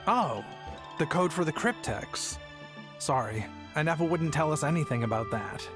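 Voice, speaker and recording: A young male game traveler, voiced by a man, with a slightly high voice. He sounds patient, weary and dryly practical, with soft music underneath. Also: lively intonation, normal speed, natural voice. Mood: weary, explaining. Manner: dry, matter-of-fact, sighing weariness.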